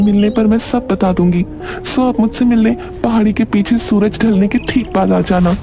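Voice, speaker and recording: A mysterious young woman played by a man talks politely and coyly in a high-pitched voice. It is a cartoon-story character, heard over clear background music. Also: fairly even intonation, moderate pace. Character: mysterious young college woman, coy secret admirer.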